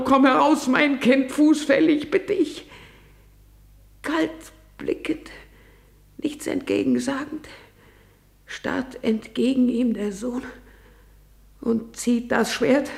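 An actor playing a grave messenger in a classical verse radio drama, reciting in a solemn, measured way. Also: male voice, very high-pitched, vocal fry, heavily acted. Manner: solemn, measured recitation, shifting to hushed whispers. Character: grave messenger reporting deaths.

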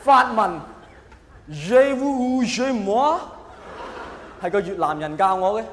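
A man with a Hong Kong accent performing a self-mocking, mock-earnest stand-up comedy monologue in an animated way. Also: sits high, takes it slowly. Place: large live venue with long reverb. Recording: echoey hall, faint noise.

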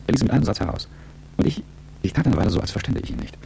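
A man's voice: medium pitch, animated, very fast, creaky, noisy background. A man playing a cool, calculating, world-weary business executive who tells his story reflectively and articulately in a radio drama. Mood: reflective, sober. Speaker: narrator and lead character. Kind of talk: radio drama first-person narration.

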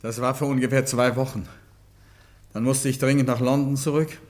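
Crime radio play: male actor as protective husband, mid-pitched, strained and halting confession. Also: fairly even intonation, a little mellow, close to an everyday voice. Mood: sombre, pleading. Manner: halting, agitated, defensive. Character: anguished husband confessing, protective of his wife. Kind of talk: German radio crime drama acting.

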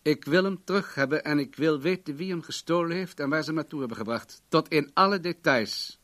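An actor playing a wealthy, controlling businessman in a radio play, formal, guarded and self-assured in a measured way. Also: fairly high pitch, a bit bright, close to an everyday voice. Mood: guarded, insistent.

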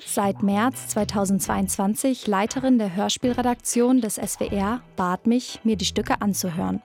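A woman's voice: mid-range voice, darkish tone. A young woman in her twenties presenting a scripted podcast narration. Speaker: presenter, radio drama intern.

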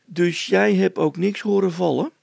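A man's voice: sits high, steady tone, unhurried, creaky, a little mellow, breathy edge, dry recording, pitched above the performer's own voice, heavily acted. A man voicing a cocky young poacher boy in a story, cheeky, stubborn and boastful, with colloquial speech. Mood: defiant.

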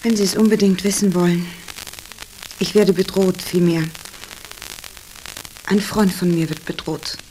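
A female actor plays a cool, guarded dancer from a noir radio play. Her voice is on the low side and her is terse, dry and wary. There is faint noise in the background. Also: fairly even intonation, measured pace, pauses often, mellow, lightly acted, rough recording. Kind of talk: noir radio drama acting.

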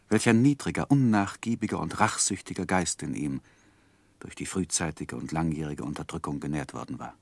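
Low-voiced, earnest gentleman narrator. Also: dry recording. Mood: grave reflection. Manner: grave, measured, literary. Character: earnest gentleman narrating his own life story. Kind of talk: 1960s radio drama narration.

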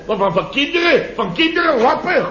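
A man's voice: extremely high pitch, big pitch swings, a little fast, sharp tone, exaggerated voice. An actor playing a boastful, indignant contractor in his fifties in a radio comedy, repeating himself and exclaiming self-importantly. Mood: exasperated. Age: 50s. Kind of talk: 1970s radio comedy acting. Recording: dull vintage radio recording.

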